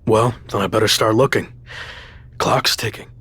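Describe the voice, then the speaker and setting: A man playing a stubborn underdog pro wrestler in a video game, speaking in a husky voice. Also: on the low side, steady tone, normal speed, a bit bright, a little hiss or hum. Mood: defensive, determined.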